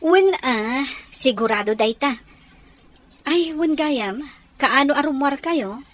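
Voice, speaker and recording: An actress with an Ilocano Filipino accent playing a friendly, helpful older sister-in-law in a radio drama. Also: female voice, high voice, animated, slow.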